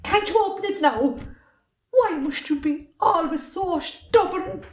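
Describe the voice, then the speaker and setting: A woman's voice: very high-pitched, expressive, unhurried. A woman with an Irish accent playing a long-suffering, fiery middle-aged wife and mother in an amateur drama. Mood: angry, threatening. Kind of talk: amateur stage drama acting.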